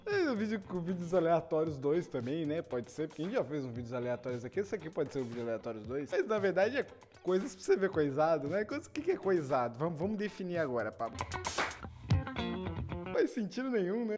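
A man's voice: on the high side, fast talker, faint music behind. A man in his thirties with a Brazilian Portuguese accent, hosting a casual video reaction show and laughing often. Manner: laughs often, animated and playful. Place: small decorated room indoors. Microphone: furry-windscreen mic in front of him.

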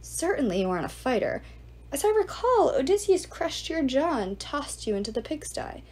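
Sneering contemptuous ghoul lord, male, read by a woman; lively, rising delivery. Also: sits high, normal speed, pitched above the performer's own voice. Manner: mocking, contemptuous. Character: sneering arrogant male ghoul, suitor's ghost.

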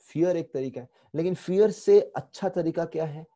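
A man in his forties with an Indian accent teaches over a video call, his voice mellow and warm. He tells stories with animated gestures and slips English phrases into his Hindi. He speaks into an earphone mic in a temple room. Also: fairly high pitch, moderate pace. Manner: lively storytelling, mixing English phrases into Hindi. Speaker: spiritual teacher. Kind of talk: online spiritual lecture. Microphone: earphone headset mic on video call.

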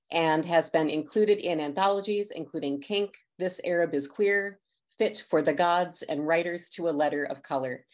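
A woman hosting a video call event, reading a prepared introduction of the next speaker in a measured, formal way. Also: low-pitched, a little mellow.

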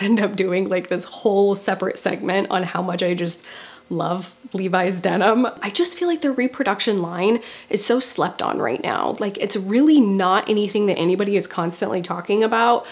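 A woman in her thirties, congested and nasal from a cold, chatting enthusiastically to camera as a fashion vlogger in a small room. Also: medium pitch. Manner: chatty and enthusiastic, many "like" fillers. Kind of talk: casual talk to camera. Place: small bedroom indoors.

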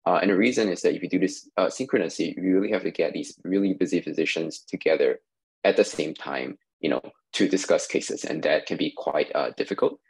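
Low gravelly man explaining slides. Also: low-quality recording. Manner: measured, explanatory, frequent "uh" and "um" fillers. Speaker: industry researcher giving a talk. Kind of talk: recorded conference talk over slides.

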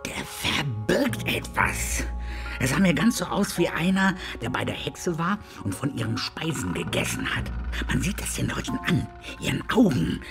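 A man playing an earnest, wary beaver in a German radio drama, dramatic and ominous, with a creaky voice. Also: fairly high pitch, very animated, normal speed. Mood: ominous, secretive. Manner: dramatic, ominous, swinging into hushed tones. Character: grave old talking beaver, earnest and wary. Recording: music under the voice.

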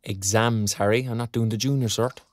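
A man's voice: fairly low pitch, steady tone, moderate pace, no room sound, close to an everyday voice. A man with an Irish accent playing a young graduate in an audio drama, teasing and encouraging in colloquial talk. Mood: bantering. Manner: colloquial, bantering. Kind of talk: naturalistic audio drama dialogue.

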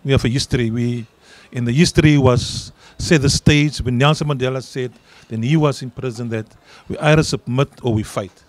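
Middle-aged man, Afrikaans accent, union leader, emphatic, medium pitch. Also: fairly even intonation, normal speed, hardly any pauses, no echo. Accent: South African Afrikaans. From South Africa. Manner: earnest, emphatic, repeats words when stressing a point. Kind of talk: interview answers at a public event. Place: outdoor garden event. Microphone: handheld mic.

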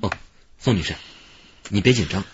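A man's voice: on the low side, moderate pace, husky. An actor playing a patient, encouraging policeman in a radio drama. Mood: patient, attentive. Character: patient, encouraging male policeman questioning a witness. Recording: dull radio drama track, faint noise.

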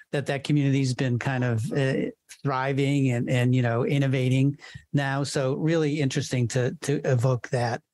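Male host, mid pitch, dry close mic, halting, hedged questions. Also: darkish tone, no room sound. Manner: halting, hedging phrasing. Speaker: host, interviewer. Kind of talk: interview questions.

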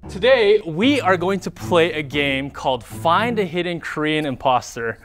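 A man's voice: fairly high pitch, expressive, a little slow. Man hosting a studio guessing game. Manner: upbeat, directing contestants. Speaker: host. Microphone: handheld mic.

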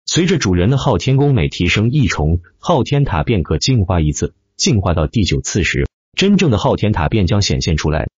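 A man's voice: low-pitched, a little fast, few pauses, slightly rough, no room sound, dull audio, full character voice. A man voicing a composed, respectful little boy spirit of an ancient tower in an audiobook. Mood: earnest. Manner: respectful, steady, explanatory.

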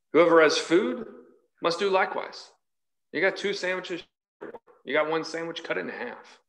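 Male preacher, forties, pitched a little high, emphatic scripture commentary with repetition for stress. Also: fairly bright tone. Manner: emphatic, repeats phrases for stress. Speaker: preacher leading a Bible study. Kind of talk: sermon with scripture reading and commentary. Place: large church hall, indoors.

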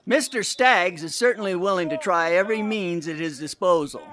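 An actor playing a cocky, wisecracking 1920s American college football coach in an audio drama, blustery and sarcastic. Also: male voice, high-pitched, moderate pace, bright, forceful tone. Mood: blustery, dismissive.

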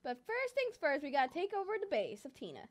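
A woman's voice: high-pitched, wildly swooping pitch, quick pace. A young woman playing a bossy, power-hungry girl turning evil in an improvised Minecraft roleplay. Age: young adult. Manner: bossy, demanding. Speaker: gaming video host and player. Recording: music behind the voice.

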